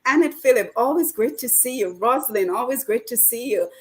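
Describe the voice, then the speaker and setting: A woman's voice: a little high, lively intonation, brisk. A woman hosting a radio talk show, posing questions to her guests and reading out viewer comments. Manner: reads out viewer comments, hands the floor to guests.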